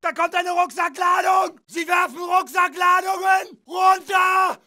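Casting: urgent Wehrmacht infantryman for a war game, shouted clipped callouts, very piercing and rough. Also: extremely high pitch, fairly even intonation, unhurried, heavily acted. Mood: urgent alarm. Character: alarmed Wehrmacht soldier bellowing battlefield warnings and callouts. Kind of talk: shouted video game combat barks.

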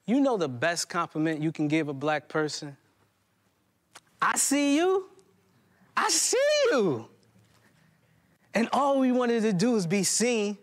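A man's voice: high voice, big pitch swings, slow, pauses often. A man in his thirties delivering theatrical spoken-word poetry on stage, with emphatic rhythm and dramatic repetition. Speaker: spoken word poet performing a stage play. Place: live stage in a plain hall before a small seated audience.

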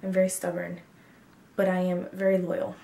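A woman's voice: on the low side, completely flat delivery, normal speed, creaky, mellow, roomy sound. A woman of twenty-two chatting frankly to camera about herself in a casual get-to-know-me video, with lots of ums and likes. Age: 20s. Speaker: beauty vlogger. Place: small bedroom, indoors.

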